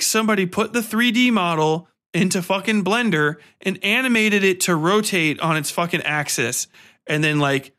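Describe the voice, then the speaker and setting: Bright-voiced man ranting casually, higher-pitched. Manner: profane, exasperated, mocking. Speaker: podcast host reviewing a film. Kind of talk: casual, rambling movie rant.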